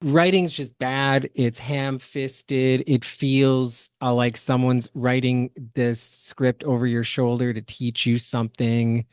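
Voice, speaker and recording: Male podcast co-host, analytical and opinionated critic, middling pitch, dry studio-like sound with no room echo. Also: level tone, slow, few pauses, darkish tone, clean tone. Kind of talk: critical reaction commentary.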